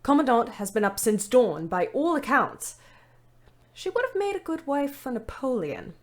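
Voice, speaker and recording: An actress playing a weary, bitter young ambulance driver, narrating her wartime diary expressively in an audio play. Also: on the high side. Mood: dry, brisk. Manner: measured, literate, expressive. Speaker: narrator and lead character.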